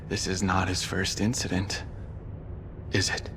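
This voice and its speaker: A man's voice: low-pitched, background noise. A man playing a brash, insolent young mech warrior in a sci-fi game, with a mocking, confrontational delivery. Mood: mocking, scornful. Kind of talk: dramatic video game acting.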